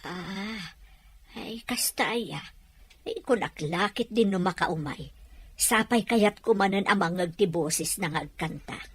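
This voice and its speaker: An actress playing a gentle, wistful woman in an audio drama, with a slightly husky voice. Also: medium pitch, unhurried, no echo. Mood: gentle, curious. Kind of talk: Ilocano audio drama acting.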